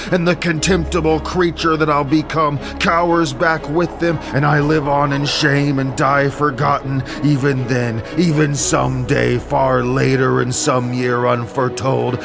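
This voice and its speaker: A man's voice: mid-range voice, gravelly. An actor playing a grief-stricken, vengeful warrior king in an epic audio drama, declaiming in anguish.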